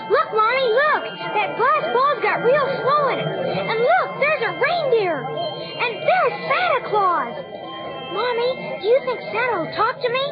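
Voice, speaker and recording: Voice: very high voice, big pitch swings, a little slow, loud music behind the voice, heavily acted. A child actor playing an eager, stubborn little boy in a 1940s radio drama, on a muffled old recording. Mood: excited.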